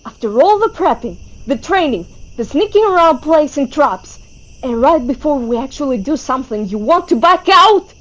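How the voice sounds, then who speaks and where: A woman's voice: high voice, very animated, moderate pace, rough recording. A woman playing an anxious, driven young female leader in a webtoon fan dub, speaking with earnest, emphatic intensity. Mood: angry, indignant.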